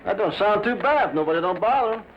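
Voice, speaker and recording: Man as a high-voiced folksy Western gunhand. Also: lively intonation, fast talker, slight background noise. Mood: wary, plain-spoken. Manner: folksy frontier drawl idioms, plain-spoken. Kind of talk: dramatic dialogue in a Western. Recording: muffled lo-fi with music behind.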